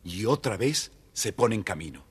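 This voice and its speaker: A man with a husky voice, narrating a scripted children's story. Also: on the low side, sing-song, normal speed, over loud music.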